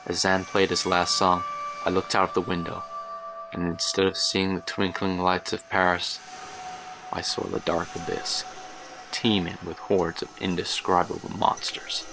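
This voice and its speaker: Deep, gravelly haunted man. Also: monotone, music in the background. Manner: grave, literary, measured storytelling. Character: haunted older man recalling his student days in Paris. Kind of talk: dramatic first-person horror monologue. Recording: noisy track with ambience behind.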